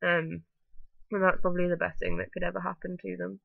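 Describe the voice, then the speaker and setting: Woman with a cold talking to her webcam, stuffy nose, flat even voice, lots of um and like, dull dry sound. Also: on the low side, brisk, vocal fry, no room sound. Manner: rambling, full of ums and likes. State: blocked-up nose from a bad cold. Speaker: vlogger. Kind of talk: casual talking-to-camera vlog.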